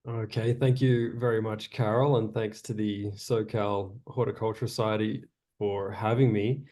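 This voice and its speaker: Relaxed Australian man presenting. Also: lowish voice, measured pace. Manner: relaxed, chatty with frequent uhs. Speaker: guest speaker. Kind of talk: presentation over video call. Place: home in Chile.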